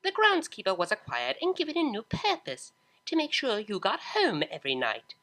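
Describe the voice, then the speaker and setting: Stern aristocratic pony mother for audio story, high, very animated, clipped and condescending male performer. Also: moderate pace, heavily acted. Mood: stern, disdainful. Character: stern, commanding, haughty older pony mother.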